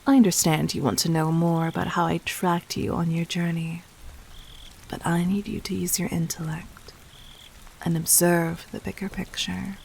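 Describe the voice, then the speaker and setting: A woman playing a regal, cunning, gently dominant demon queen in a roleplay audio drama, speaking formally and intimately with measured, breathy poise. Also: lowish voice, flat delivery, a little hiss or hum. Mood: poised, knowing. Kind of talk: scripted roleplay audio drama addressed to the listener.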